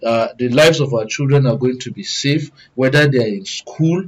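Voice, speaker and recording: A man's voice: mid-range voice, normal speed. A man with a Nigerian accent discussing public affairs as a panel guest, earnest and wordy, often repeating phrases as he builds his points.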